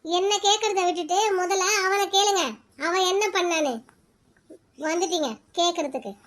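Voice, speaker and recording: A woman voicing a sharp-tongued, defiant stepmother in a cartoon. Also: normal speed. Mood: snapping. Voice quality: very high-pitched, shrill. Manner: snapping and argumentative. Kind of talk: cartoon voice acting.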